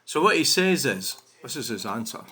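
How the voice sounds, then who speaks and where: A Scottish man rants on his own channel in a bright, forceful voice. He talks indignantly and swears freely, padding his lines with "you know" and "I mean" as he picks apart viewer comments. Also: mid-range voice, big pitch swings, normal speed, husky. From Scotland. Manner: indignant, profane, full of fillers like "you know". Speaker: channel host.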